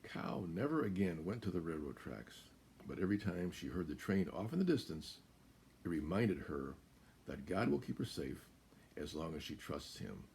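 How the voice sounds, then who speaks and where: An American man in his seventies reading a children's picture book aloud as a guest storyteller, in a deliberate, emphatic way. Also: deep voice, big pitch swings, gravelly, a little mellow, slight background noise. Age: 70s. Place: small room indoors.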